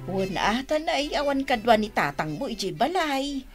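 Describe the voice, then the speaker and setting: A talkative elderly mother-in-law in an Ilocano radio drama. She is fussy and frank, with a fairly high voice and very lively intonation. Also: normal speed, soft background music. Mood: chatty, approving. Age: older.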